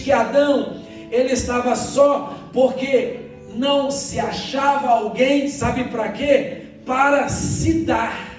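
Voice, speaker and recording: High-pitched Brazilian male preacher, very echoey. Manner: exhorting, repeats phrases, addresses congregation directly. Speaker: pastor. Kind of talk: church sermon. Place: church hall during a morning service. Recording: echoey church sound, distant, faint music.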